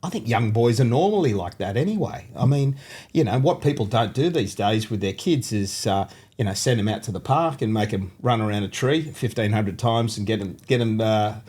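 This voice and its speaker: A man's voice: fairly low pitch, animated, fairly quick, a little mellow, husky. A middle-aged Australian man hosting a casual podcast interview, rambling and teasing his guest with jokes. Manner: jokey, rambling, teasing banter. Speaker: podcast host, courier company owner.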